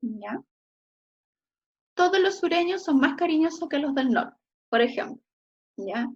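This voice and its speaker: Chilean woman, teacher, fairly high voice, muffled sound, keeps checking in with students. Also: expressive, measured pace. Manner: frequent checking "¿ya?" tags, encouraging. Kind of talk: online class lecture.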